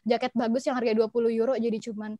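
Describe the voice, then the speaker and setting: A young woman with an Indonesian accent chatting casually as an interview guest, expressive and giggly, mixing in English and German words. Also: on the high side, quick pace, no echo. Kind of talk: casual chat.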